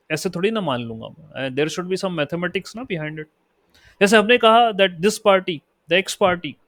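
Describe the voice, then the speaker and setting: Slow, high-voiced Indian man lecturing. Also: a bit bright, dry recording. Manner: explains in Hindi, reads definitions slowly in English. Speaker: teacher.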